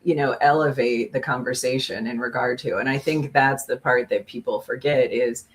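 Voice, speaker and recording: A woman co-hosting a podcast, speaking in a measured, reflective and supportive conversational way. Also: rumbling low, fairly even intonation. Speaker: podcast co-host, former news colleague.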